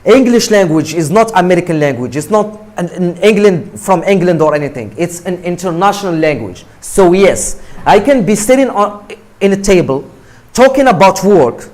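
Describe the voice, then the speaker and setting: A man's voice: sits high, expressive, normal speed, darkish tone. A man from Morocco, speaking English as a guest speaker in an emphatic motivational talk to students, often checking in with "right?" and repeating himself.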